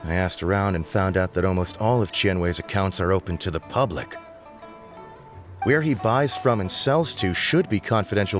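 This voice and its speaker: A man's voice: fairly low pitch, lightly acted. A man playing a cocky, boastful young informant in a video game, with a smug, theatrical delivery. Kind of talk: video game character dialogue.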